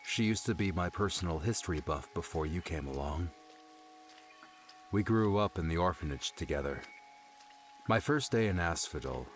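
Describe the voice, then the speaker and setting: Man voicing very deep, grieving young adventurer. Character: grieving young male adventurer, haunted and tender. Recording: music under the voice.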